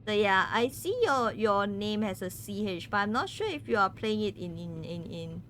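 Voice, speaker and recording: A woman's voice: mid-range voice, expressive, normal speed, a bit bright, a little hiss or hum. A woman from Malaysia, chatting casually with viewers on a game stream. She giggles often and stumbles over words.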